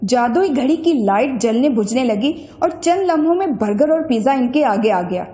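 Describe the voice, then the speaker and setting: A woman tells a children's moral story from a script. Her voice is notably high. The recording carries a clear room echo. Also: expressive, moderate pace, mellow. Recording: roomy echo.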